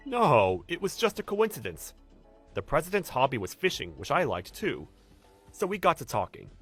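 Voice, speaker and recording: A man's voice: fairly high pitch, wildly swooping pitch, moderate pace, breathy edge, no echo, soft background music, heavily acted. A man voicing a mild-mannered, humble older office newcomer in an anime-style dub, speaking politely and apologetically. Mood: modest. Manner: polite, soft-spoken, apologetic.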